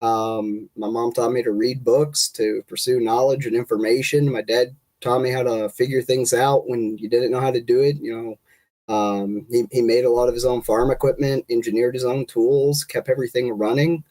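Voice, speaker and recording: Guest: congested man, mid-pitched, talking casually about his background. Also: normal speed, rough recording. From Kentucky. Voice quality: nasal from congestion, relaxed rambling delivery. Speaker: guest, state party chair. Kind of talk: casual podcast interview.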